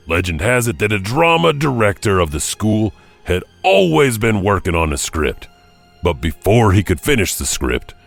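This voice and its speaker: Give the part of a mysterious janitor to a man acting it out in a theatrical horror podcast. The voice is very deep and thick with creaky gravel. He spins eerie legends with big swings in pitch. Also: exaggerated voice. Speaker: horror storytelling podcast host. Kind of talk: theatrical horror storytelling narration.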